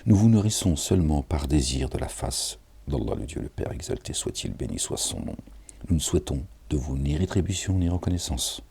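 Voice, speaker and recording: Very deep, dark husky male preacher. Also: lively intonation, a little fast. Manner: casual, digressive, chuckles, addresses listeners directly. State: tired. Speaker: self-styled preacher reading and commenting on scripture. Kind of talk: informal scripture reading with commentary.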